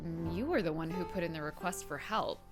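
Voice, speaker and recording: A woman's voice: lowish voice, a little fast, natural voice. A woman playing a cocky, bossy and playful young giant superhero in an audio drama. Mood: firm. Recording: guitar music behind the voice.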